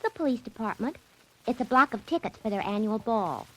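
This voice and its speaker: A perky, scatterbrained young secretary in a 1940s radio drama. Her American voice is fairly high and swoops about in a very animated way. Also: brisk, low-quality recording. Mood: matter-of-fact. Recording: vintage radio drama recording.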